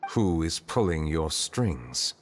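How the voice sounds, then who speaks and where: Deep, grave, formal ancient judge. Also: male voice, big pitch swings, soft background music, full character voice. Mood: stern. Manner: measured, formal, reserved. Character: centuries-old chief justice, grave, formal, modest male being. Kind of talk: video game voice acting.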